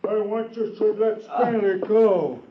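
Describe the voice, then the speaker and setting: A man playing a big, slow, menacing handyman in a 1950s radio drama. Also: high-pitched. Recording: muffled old radio recording.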